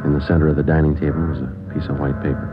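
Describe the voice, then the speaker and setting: Deep-voiced no-nonsense cop, flat and clipped, dull old radio sound w music. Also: male voice, very fast. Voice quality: clipped, flat, matter-of-fact. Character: terse, steady male police sergeant. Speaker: police sergeant narrator. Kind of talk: 1950s radio crime drama.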